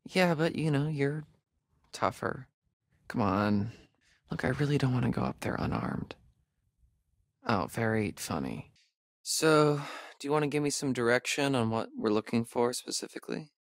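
A nervous young American guy from a horror video game, played by a male actor. His mid-pitched voice has a creaky edge and sits very dry, with no room around it. He talks in hesitant, sardonic slang, like someone who covers his nerves with wisecracks. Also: animated, normal speed, pauses often, dry recording, natural voice. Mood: nervous joking. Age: young adult. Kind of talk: naturalistic video game acting.

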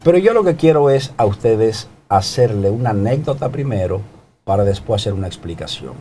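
A Dominican man in his sixties speaking as a TV panel guest, telling anecdotes and explaining in a deliberate manner. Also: fairly low pitch, expressive, dark tone, a little hiss or hum. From Dominican Republic. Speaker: guest panelist, TV channel director. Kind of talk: anecdotal political talk. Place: TV studio. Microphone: lapel.

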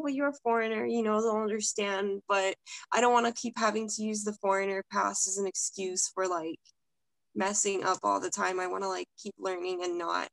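A woman's voice: mid-range voice, gravelly, no echo, low-quality recording. A young woman from Russia, a guest talking casually over a video call from home, with many filler words. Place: home room, indoors.

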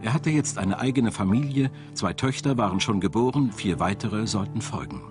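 A man narrates a documentary in a fairly low voice. His scripted delivery is measured and tells the material like a story. Music plays clearly behind him. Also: animated. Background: background music.

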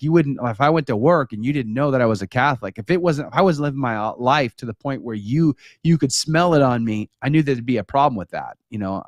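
A man's voice: medium pitch, no room sound, clean studio sound. A middle-aged man with an American accent chatting casually as a live talk show host, conversational and rambling into tangents. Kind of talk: casual chat with viewers, personal storytelling. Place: small home studio with bookshelves, indoors. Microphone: close studio microphone on boom arm.

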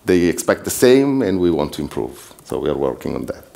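A man's voice: a bass voice, very animated, a little fast, creaky, darkish tone. A man from southern Switzerland with an Italian accent, presenting at a developer conference as one of its organizers, in English with some slips and restarts. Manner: non-native English with restarts, slips and laughs. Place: conference hall.

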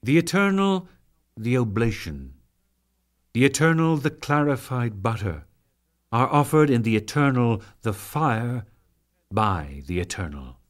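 A man narrates sacred verse as a dramatic reading, solemn and measured, with deliberate phrasing and frequent pauses. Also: mid-range voice, very animated.

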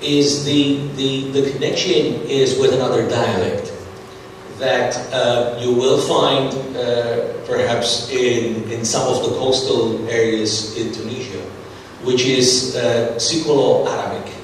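A middle-aged man speaks on stage at a conference, pausing often with fillers and restarts. He sounds far from the recorder, and the sound rings around a big, reverberant hall. A faint hum sits behind him. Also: medium pitch, steady tone. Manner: hesitant, many fillers and restarts. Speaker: conference presenter. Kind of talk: conference Q&A discussion. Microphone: stage mic, recorded from far off.

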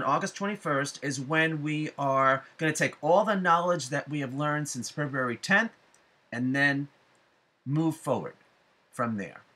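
Bright, forceful man, emphatic. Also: a little high. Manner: deliberate, emphatic phrasing with frequent pauses. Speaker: astrology forecaster and psychic medium. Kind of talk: spiritual forecast vlog, addressed to viewers. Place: small room at home, indoors.

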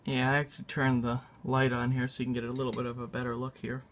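A man narrating a casual hands-on tutorial in a halting, unscripted way, thinking aloud as he works. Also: mid-range voice, monotone, slight background noise. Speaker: video maker demonstrating a repair. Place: indoors at a tabletop.

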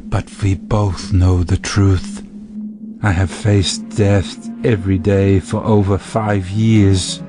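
A man playing a weary soldier confessing to God in despair, a solemn, measured monologue for an audio drama. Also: fairly low pitch, background noise, natural voice. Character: weary, haunted male soldier praying in despair. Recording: music behind the monologue.

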